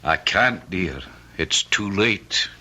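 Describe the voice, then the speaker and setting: An actor playing a paternal, gently firm family doctor in an old radio horror drama, theatrical and a little patronizing. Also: male voice, deep voice, animated, slow, full character voice. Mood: regretful, firm. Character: paternal, regretful, pragmatic male family doctor.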